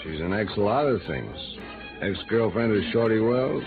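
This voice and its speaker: A world-weary, hard-drinking American reporter in a fifties radio drama. The voice sits low and carries a bitter, sardonic edge. It comes through narrow-band vintage sound with music behind. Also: male voice, animated, measured pace, creaky. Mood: cynical. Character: disillusioned, hard-drinking male newspaper reporter, bitter and lovelorn. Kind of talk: 1950s American radio noir acting. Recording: band-limited old radio recording with music.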